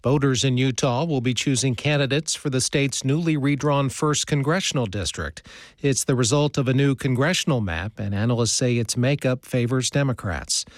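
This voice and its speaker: A man's voice: mid-range voice, no room sound. Male newscaster, dry studio sound. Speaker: radio newscaster. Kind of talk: scripted newscast.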